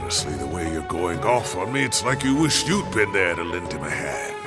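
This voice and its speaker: A gravelly, boastful old scholar. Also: male voice, deep voice, big pitch swings, a little fast. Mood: defensive. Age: older. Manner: boastful, bargaining, pleading. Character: old male scholar, cocky and wheedling. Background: background music.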